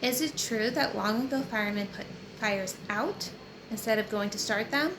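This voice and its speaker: A woman's voice: a little high, normal speed, natural voice. A woman voicing a chatty, guileless, inquisitive teenage girl from a novel in an audiobook reading. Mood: curious. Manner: inquisitive, earnest. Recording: roomy echo with clear background noise.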